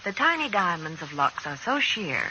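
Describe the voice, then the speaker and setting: A woman's voice: on the low side, very animated, moderate pace. A woman delivering a scripted, chatty 1940s radio commercial as an announcer. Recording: bright, noisy old radio recording.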